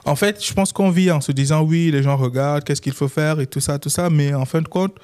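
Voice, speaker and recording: A man's voice: fairly high pitch, brisk. A young man from Chad with a Chadian French accent, a lawyer guest in a casual interview, telling his story and acting out the dialogue. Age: young adult. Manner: animated, acts out quoted dialogue.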